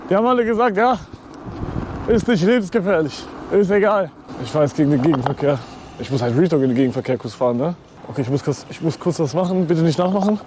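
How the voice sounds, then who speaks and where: Young male streamer, high-pitched, rambling slangy chat from a bike, street noise throughout. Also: fast. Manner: slangy, excitable, swears a lot. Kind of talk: live outdoor stream chat. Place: outdoors on city streets. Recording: outdoor street noise with traffic.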